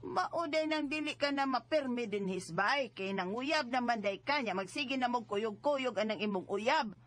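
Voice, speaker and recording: A woman's voice: a little high, lively intonation, moderate pace, natural voice. A woman playing a worried, stern middle-aged mother in a radio drama, scolding and exclamatory, with a Cebuano Filipino accent. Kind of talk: Bisaya radio drama acting.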